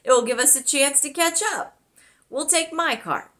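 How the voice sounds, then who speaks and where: A woman voicing a hearty, cheery grown man, a folksy island chief in a storybook, with a booming manner. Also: unhurried, fairly bright tone.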